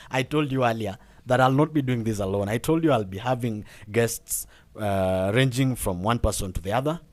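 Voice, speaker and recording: A man's voice: medium pitch, normal speed. A young Kenyan man hosting a casual podcast, talking with many fillers and repeated words and laughing often. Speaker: podcast host.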